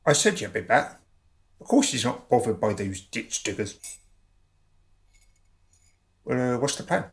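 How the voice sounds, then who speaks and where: A man plays a hapless sidekick in a radio drama. He is loyal and hesitant, with a creaky edge and bouncy intonation. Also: mid-range voice, sing-song, unhurried. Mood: eager.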